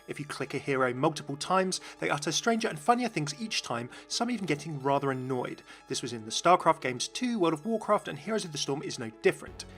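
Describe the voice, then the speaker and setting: A man narrates a gaming video in an upbeat, explanatory way. His voice sits a little high, bright and forceful, close on the mic with music underneath. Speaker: video game channel narrator.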